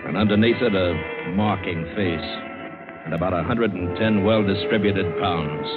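An actor playing a cynical, hard-boiled American ship's mate, narrating and speaking tersely in a vintage radio adventure drama. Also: male voice, low-pitched, measured pace. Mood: wry, irritated. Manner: terse, sardonic, tough-guy delivery. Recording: dull old radio broadcast, loud music.

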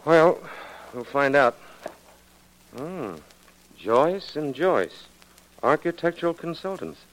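An actor playing a steady, amiable federal agent in a 1940s radio drama, businesslike and matter-of-fact. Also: male voice, fairly high pitch, takes it slowly, full character voice, low-quality recording.

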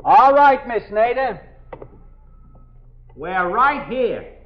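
An actor playing a patient police captain who projects reassurance through a door in a 1950s radio drama. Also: male voice, very high-pitched, expressive, extremely slow, heavily acted. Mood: calming. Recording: muffled 1950s radio recording.

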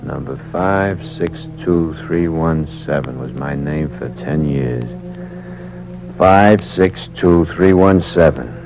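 An actor playing a bitter, weary young ex-convict in a 1940s radio crime drama, with a wry, slangy, hard-boiled manner. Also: male voice, a bass voice, slow, over music, heavily acted. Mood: bitter, brooding. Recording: dull, noisy old radio recording.

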